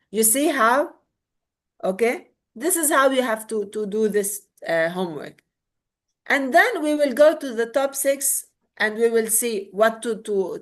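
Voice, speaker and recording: A woman from Lebanon with a Lebanese Arabic accent, leading an interactive online workshop and often checking in with "okay?". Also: fairly high pitch. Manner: repeats words, checks in with "okay?". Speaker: webinar trainer.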